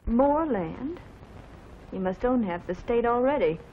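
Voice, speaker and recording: A woman's voice: fairly high pitch, animated, unhurried. An actress playing a spirited, inquisitive woman in old Hollywood drama. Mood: teasing, curious. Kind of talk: old Hollywood film acting. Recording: muffled, noisy old film soundtrack.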